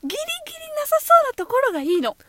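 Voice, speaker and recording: A woman playing a brisk, strict but encouraging schoolteacher of samurai pupils, instructing emphatically. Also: very high voice, sing-song, background noise.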